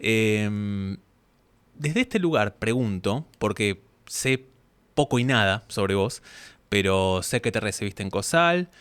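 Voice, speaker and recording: A man's voice: lowish voice, expressive, slow pace, a bit bright, clear, resonant tone. A man with an Argentine accent hosting a casual interview in an informal, discursive way, often acting out bits of dialogue. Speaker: interview host.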